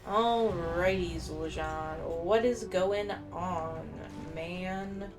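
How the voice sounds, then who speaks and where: A woman's voice: fairly low pitch, extremely slow. A woman giving casual, slangy, meandering commentary as she plays a video game. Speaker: gameplay streamer. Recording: game music faintly behind.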